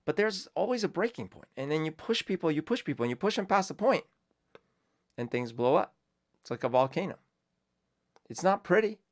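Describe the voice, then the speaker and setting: A man's voice: a little high, very animated, a little fast, pauses often. Colombia-born man musing in a casual commentary. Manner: reflective, rambling, many fillers and restarts. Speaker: host.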